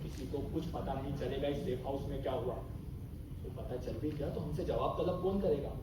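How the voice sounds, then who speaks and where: A young man acting an embittered, lustful Indian army captain in a stage play, theatrical and menacing, recorded from far off in a very echoey, noisy hall. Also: close to an everyday voice. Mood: cold menace. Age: young adult. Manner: theatrical, swinging from low insinuation to shouted outbursts. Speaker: student stage actor. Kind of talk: stage play acting in a one-act drama.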